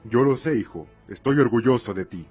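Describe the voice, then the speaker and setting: An actor playing a proud, devout middle-aged lord and father in a fantasy radio drama, speaking in a measured, formal, earnest way. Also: mid-range voice, lively intonation, breathy edge, dull audio, faint music behind.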